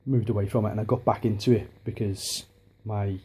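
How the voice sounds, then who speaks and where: A man with a softened Liverpool accent talks through his hobbies on a handheld camera in his dining room. His voice is low, husky and mellow, and he rambles, circling back with plenty of ums and restarts. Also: fairly even intonation, fairly quick. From Liverpool, England. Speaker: hobbyist vlogger. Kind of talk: casual home video chat.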